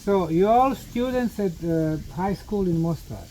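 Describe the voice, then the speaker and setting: A man's voice: sits high, animated, measured pace, warm tone. A man speaking informally to a group outdoors, asking short questions and explaining as the host. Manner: repeats answers back, short questions. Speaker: host and group leader, pyramid researcher. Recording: noisy outdoor ambience.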